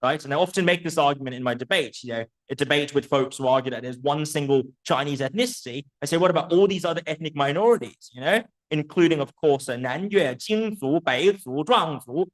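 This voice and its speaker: A man's voice: on the high side, a little fast, hardly any pauses, low-quality recording. A man presenting an academic book talk in an articulate, erudite manner, posing rhetorical questions and often checking in with the listeners.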